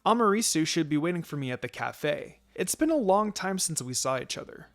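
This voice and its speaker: A man's voice: on the high side, big pitch swings, brisk, soft background music. A man narrating a first-person fan fiction story.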